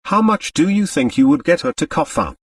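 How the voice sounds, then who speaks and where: A man's voice: on the high side, sing-song, brisk, breathy edge, no room sound, distant mic, voice pushed up. A man voicing a society lady's grown daughter, blunt, cynical and dryly outspoken. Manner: dry, outspoken, impatient.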